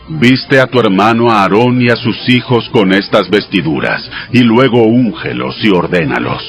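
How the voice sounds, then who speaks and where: A man playing a solemn God giving measured, exacting instructions in a dramatized scripture reading. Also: low voice. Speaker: Bible audio drama voice actor. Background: music behind the voice.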